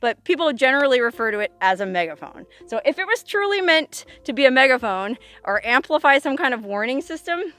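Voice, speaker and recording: High-voiced woman chatting in an outdoor vlog. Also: big pitch swings, a little fast, hardly any pauses, sharp tone. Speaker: vlog host. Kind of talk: casual outdoor vlog talk to camera. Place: outdoors on a desert hilltop.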